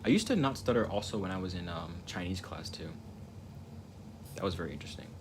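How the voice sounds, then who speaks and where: A man's voice: deep voice, expressive, fast. A young man with a stutter chatting casually on a livestream while he paints, rambling and reflective, repeating words and restarting sentences. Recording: noticeable ambient noise.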